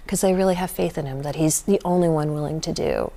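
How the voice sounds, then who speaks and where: Mellow-voiced woman news correspondent. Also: sits low, fast talker, creaky. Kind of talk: TV news report.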